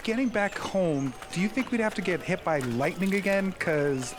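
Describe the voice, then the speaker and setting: High-voiced flippant American teenage boy. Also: lively intonation, normal speed, noisy background. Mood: casual, wry. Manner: casual, flippant. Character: slangy modern teenage boy from Pennsylvania.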